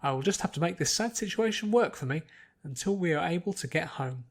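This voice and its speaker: A man's voice: on the high side, lively intonation, brisk, breathy edge. A man voicing a genteel, theatrical young lady unicorn with a love of fashion in a story reading. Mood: resolute.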